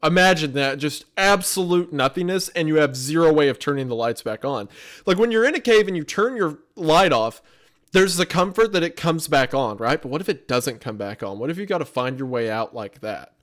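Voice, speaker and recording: A man with a somewhat higher-pitched voice talks casually, as a content creator giving commentary. His intonation moves up and down a great deal, and he leans into key words like an expressive storyteller. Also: fairly quick. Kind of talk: casual chat, reaction commentary.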